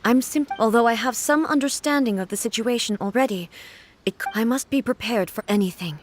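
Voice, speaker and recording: A woman playing a solemn, regal warrior god and ruler in a video game, speaking in a formal, grave, measured manner. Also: a little high, a little hiss or hum, natural voice. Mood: composed.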